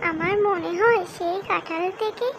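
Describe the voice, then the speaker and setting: A woman voicing a very high-pitched pleading little cartoon girl. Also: normal speed, a little hiss or hum. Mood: excited. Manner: childlike, pleading, earnest. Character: eager, pleading young girl of animal cartoon family. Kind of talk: children's cartoon story dubbing.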